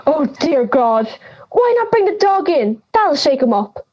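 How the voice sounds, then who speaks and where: A woman's voice: high voice, big pitch swings, unhurried, darkish tone, highs cut off. A young woman playing a feisty, blunt granny of ninety in an audio drama, scolding and sarcastic. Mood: incredulous. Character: feisty, blunt ninety-year-old granny living in the woods.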